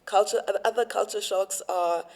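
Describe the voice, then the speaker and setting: Young South African woman, South African accent, mid-pitched, lively storyteller in a casual interview. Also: moderate pace. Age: young adult. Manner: animated storytelling, acts out quotes. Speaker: university student guest.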